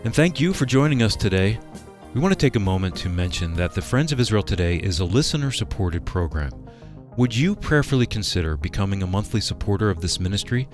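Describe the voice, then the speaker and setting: A man's voice: low voice, darkish tone. A man making scripted closing announcements as the announcer of a radio program.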